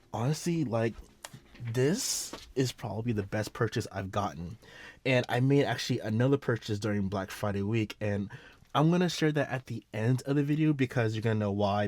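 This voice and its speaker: A man's voice: on the low side, a little mellow, no room sound. A man with a bit of a nasal, sick-sounding voice chatting casually to his viewers in a vlog intro, upbeat and full of filler words, speaking into a small wireless mic. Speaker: photography vlogger. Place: indoors at home. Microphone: small wireless mic clipped to his hat.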